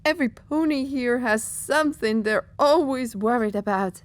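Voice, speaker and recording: A woman's voice: fairly high pitch, normal speed, no echo, close to an everyday voice. A woman voicing a weary, grieving pegasus mercenary captain with a folksy, hesitant way of talking in an audio drama. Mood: troubled.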